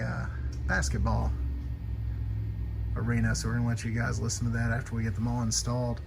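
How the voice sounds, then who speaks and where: Casual male product reviewer with background noise. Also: medium pitch. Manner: informal, off-the-cuff. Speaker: audio equipment reviewer and installer. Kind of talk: casual product overview and demo.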